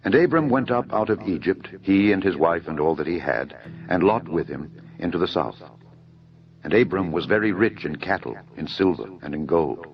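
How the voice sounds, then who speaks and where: A man giving a dramatised scripture narration in a solemn, measured storytelling manner. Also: deep voice, animated. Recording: dull lo-fi audio under music. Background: background music.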